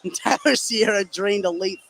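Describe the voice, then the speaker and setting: A man's voice: high-pitched, normal speed, a bit bright. A man giving live color commentary and analysis at a basketball game. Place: indoor basketball gym. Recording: noisy live gym broadcast.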